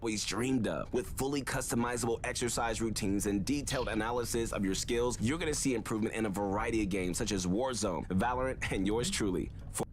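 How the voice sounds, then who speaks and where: A man's voice: on the low side, a little fast, hardly any pauses, a little hiss or hum. A man narrating a gaming video from a script. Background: music.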